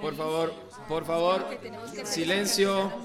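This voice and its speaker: High male voice, earnest village chairman, radio drama, crowd clamour behind. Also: fairly even intonation, slow. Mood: insistent, orderly. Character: earnest, fair-minded village meeting chairman.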